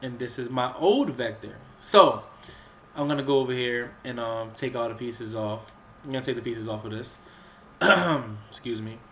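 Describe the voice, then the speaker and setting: A man with a cold who keeps clearing his throat, casually talking through a game's crafting menus with lots of fillers and restarts. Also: medium pitch, normal speed, a bit bright, a little hiss or hum. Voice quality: sounds a bit off from a cold. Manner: rambling, with many fillers and restarts. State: under the weather, coughing and clearing his throat. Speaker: gaming channel host. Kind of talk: casual gameplay walkthrough commentary.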